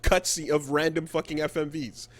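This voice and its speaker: A man's voice: on the high side, flat delivery, moderate pace. A man co-hosting a podcast, chatting and commenting informally with plenty of swearing. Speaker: podcast co-host. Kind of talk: casual podcast chat, commentary.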